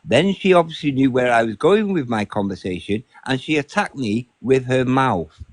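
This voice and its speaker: A man's voice: medium pitch, expressive, moderate pace, no echo. A man from Salford with a broad Mancunian accent, debating insistently as a caller on a live panel. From Salford, Greater Manchester, England. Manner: argumentative, insistent.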